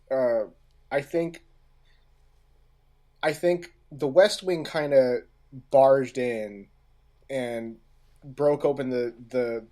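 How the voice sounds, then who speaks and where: Male podcast co-host, medium pitch, unhurried and halting delivery, conversational discussion style. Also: warm tone. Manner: halting, pausing mid-phrase.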